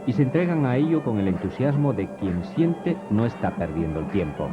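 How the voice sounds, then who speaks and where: A man reading scripted narration for a television report in a measured, solemn manner. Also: medium pitch, sing-song. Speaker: documentary narrator. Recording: dull old TV audio under music.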